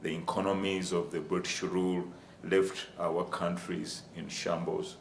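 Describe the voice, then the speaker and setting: A man from Uganda, giving a formal presentation on a university panel and addressing the audience as ladies and gentlemen. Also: low-pitched, little pitch movement, a little slow, vocal fry. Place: lecture room. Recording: echoey room with faint ambient noise.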